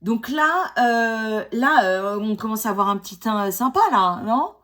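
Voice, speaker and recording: A woman's voice: a little high, unhurried, a bit bright. Chatty woman in her forties with a cold. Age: 40s. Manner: chatty, self-mocking, many fillers and restarts. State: has a cold. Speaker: beauty vlogger. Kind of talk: casual makeup tutorial chat. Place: at home.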